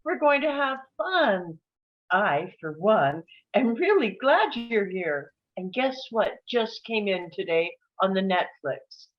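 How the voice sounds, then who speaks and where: A woman's voice: mid-range voice, very animated, slow, dull audio. A woman playing a cheery, chatty, comforting older mother at a comedy table read.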